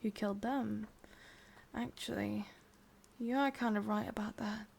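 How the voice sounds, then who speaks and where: A woman with an English accent playing a lovelorn, obsessive immortal witch in a breathy, intimate whispered ASMR roleplay. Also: medium pitch, steady tone, a little hiss or hum. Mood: calm, resigned. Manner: slow, hushed, intimate delivery with pauses.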